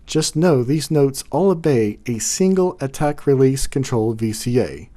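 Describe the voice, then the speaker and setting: A male reviewer narrates a scripted product review from his home studio. His tone is mellow and soft-edged, and his phrasing is casual and folksy. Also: mid-range voice, sing-song, a little slow.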